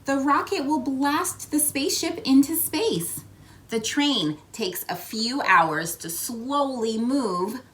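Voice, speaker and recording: Animated, high-voiced woman reading to children. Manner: expressive storytelling, coaxing questions, acted-out sound words. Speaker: read-aloud host. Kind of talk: children's picture book read-aloud with asides to young listeners.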